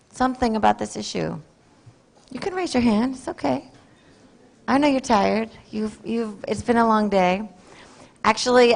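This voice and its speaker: A woman's voice: a little high, creaky. A woman moderating a conference session, speaking in a friendly, encouraging, conversational way to the audience. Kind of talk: event moderation.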